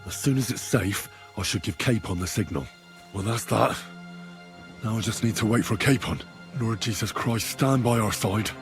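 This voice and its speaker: An actor playing a loyal, down-to-earth young scout in a video game, speaking in a straightforward way. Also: male voice, on the low side, normal speed, over music, lightly acted. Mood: quiet, tense. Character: plain-spoken, loyal young adventurer and scout. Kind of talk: video game dramatic acting.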